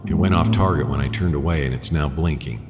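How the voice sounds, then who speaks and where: A man playing a hard-boiled detective who narrates his own story in a radio drama, gravelly and measured, with brooding suspense. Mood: anxious suspense. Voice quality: gravelly, very deep. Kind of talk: first-person scripted radio drama narration. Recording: long reverb, music underneath.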